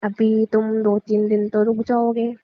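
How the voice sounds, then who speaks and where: A woman's voice: on the high side, completely flat delivery, unhurried, natural voice. A young woman playing a playful, coaxing newlywed wife in casual, teasing audio-drama dialogue. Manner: teasing, informal. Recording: dull lo-fi phone-call style audio.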